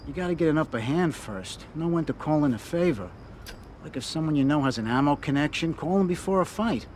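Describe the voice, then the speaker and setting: A griping, chatty mobster sidekick played by a man in a game cutscene. His voice sits a bit high, with a casual wiseguy delivery and faint outdoor noise. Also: lively intonation, a little fast, a little mellow, natural voice. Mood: casual, advising. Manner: casual, wisecracking, streetwise. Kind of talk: video game cutscene acting.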